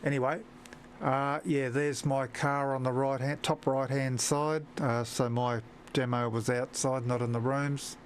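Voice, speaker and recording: A man's voice: medium pitch, flat delivery, a little slow, slight background noise. Hesitant man, live-stream chat. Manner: hesitant, many fillers and restarts. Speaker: amateur TV radio operator presenting a live stream. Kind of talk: unscripted live-stream commentary.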